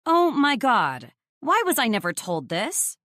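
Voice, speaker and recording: A woman's voice: on the high side, huge pitch swings, a little slow, sharp tone, dry recording, studio quality, voice pushed up, full character voice. A woman voicing a blunt, nosy young female best friend in an audiobook, gushing and teasing in exclamations. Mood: astonished. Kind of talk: audiobook character dialogue.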